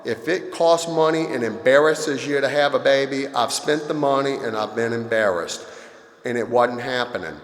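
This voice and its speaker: A man's voice: medium pitch, normal speed. Man, 50, from Louisiana; earnest heartfelt speaker, echoey convention hall, long reverb. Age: 50s. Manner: earnest, confessional, emotional. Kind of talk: personal recovery talk.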